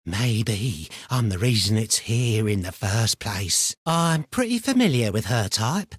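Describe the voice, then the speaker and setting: Game role: a paranoid, chatty mad miner, rambling and theatrical, very varied intonation, dry studio sound. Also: male voice, fairly low pitch, big pitch swings, slow pace, slightly rough, no echo, exaggerated voice. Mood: unhinged musing, then wry, suspicious. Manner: rambling, muttering, colloquial, theatrically swinging between chummy and suspicious. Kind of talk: video game character voice lines.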